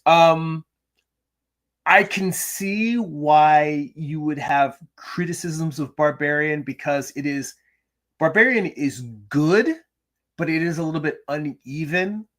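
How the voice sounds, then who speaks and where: A middle-aged man hosting a drawing livestream from his home, chatting casually with viewers and reading their comments, his voice gravelly from little sleep, with many restarts and fillers. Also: fairly high pitch, takes it slowly, fairly bright tone. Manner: chatty, self-deprecating, frequent restarts and ums. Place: home room.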